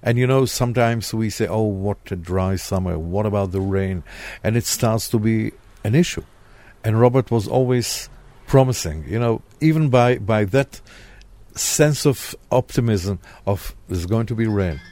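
Slow-spoken man, low voice. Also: warm tone, no room sound. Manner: slow, emphatic, searching for words. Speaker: radio host. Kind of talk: interview answers.

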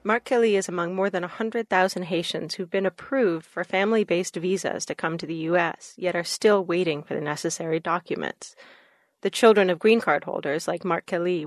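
A woman reporter narrating a radio news story in a calm, steady storytelling manner. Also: fairly low pitch, no echo, studio quality.

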